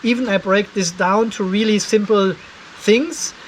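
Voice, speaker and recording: A man's voice: high voice, fairly even intonation, unhurried, a little hiss or hum. A man in his fifties giving a casual, conversational explainer, going step by step and openly critical. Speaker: host of an off-grid solar channel. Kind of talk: casual explainer vlog to camera. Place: cluttered garage workshop.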